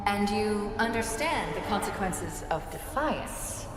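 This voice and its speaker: An actress voicing an imperious artificial intelligence turned tyrant in a video game, coldly calm, formal and commanding. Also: female voice, medium pitch, slow, heavy background noise. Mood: solemn warning. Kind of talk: dramatic video game dialogue. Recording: very echoey, long reverb, music behind.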